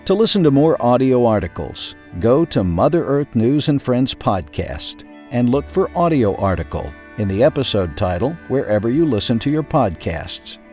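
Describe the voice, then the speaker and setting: A man reading a scripted magazine article aloud in a measured, clearly emphasized narrator's delivery. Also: medium pitch, sing-song, darkish tone, faint music behind.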